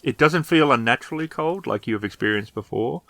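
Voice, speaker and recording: A man's voice: mid-range voice, lively intonation, brisk, gravelly, a bit bright, dry recording. A man narrating a tabletop roleplaying game as storyteller, describing scenes to the players.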